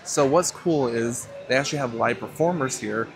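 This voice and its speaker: A man's voice: medium pitch, normal speed, music in the background. A man casually vlogging as he walks around an indoor expo, upbeat and easily delighted, with joking asides. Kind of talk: casual walkthrough vlog. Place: busy indoor expo hall. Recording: crowd chatter behind.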